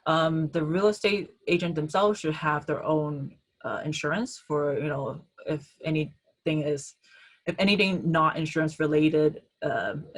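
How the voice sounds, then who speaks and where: A woman insurance agent answering audience questions in an online webinar over a video call, hesitant, with many ums and uhs. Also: sits low.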